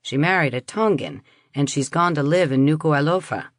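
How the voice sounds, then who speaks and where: A man's voice: a little high, normal speed, dry recording, clean studio sound, natural voice. A woman voicing a stiff, prejudiced middle-aged husband who talks in a stubborn, evasive way.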